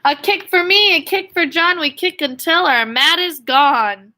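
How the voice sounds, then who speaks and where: A woman's voice: very high voice, expressive, unhurried, bright, forceful tone, slight background noise. A woman teacher reading a story aloud to young children, expressively, with questions and asides. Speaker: elementary school teacher.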